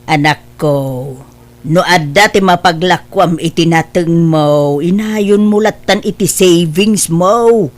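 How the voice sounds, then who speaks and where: A woman with an Ilocano accent playing a gentle, worried mother of grown children in an audio drama. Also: fairly low pitch, slow.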